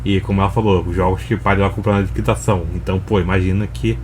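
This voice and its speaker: A man's voice: deep voice, flat delivery, rapid-fire, darkish tone, a little hiss or hum. A Brazilian man giving casual, slangy, conversational commentary as he reacts to a video.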